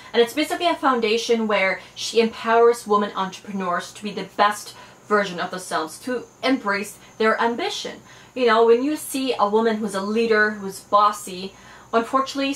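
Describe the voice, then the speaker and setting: A woman's voice: mid-range voice, fairly bright tone. A young woman whose first language is Farsi, chatting eagerly through a fashion haul with many fillers. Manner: enthusiastic, chatty, frequent fillers. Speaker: fashion and beauty vlogger. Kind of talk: casual haul video. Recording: echoey room, faint background noise.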